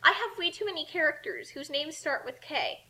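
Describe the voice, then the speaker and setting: A woman reading a story aloud in a theatrical, animated way and chatting casually about it as commentator. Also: high-pitched, moderate pace. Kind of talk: dramatic fan fiction reading with casual commentary.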